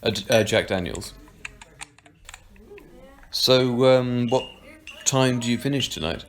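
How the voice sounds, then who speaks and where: A man's voice: mid-range voice, slow, natural voice. Actor as flippant, teasing man at the pub. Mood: curious, teasing. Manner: casual, hesitant, joking. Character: flippant, teasing male pub regular, carefree joker. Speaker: voice actor in an audio drama. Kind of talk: amateur audio drama acting, conversational.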